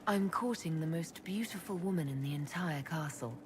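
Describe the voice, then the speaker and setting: A woman's voice: deep voice, normal speed, noisy background, natural voice. An actress playing a self-assured, courtly woman leader in a video game, composed and direct in her delivery. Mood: tender, teasing. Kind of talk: video game cut-scene acting.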